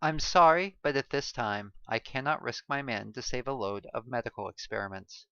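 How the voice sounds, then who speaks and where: A man's voice: mid-range voice, moderate pace, sharp tone, smooth, no echo, highs cut off. A woman voicing a cold, apathetic male ship captain who gives curt orders. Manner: curt, commanding, unconcerned.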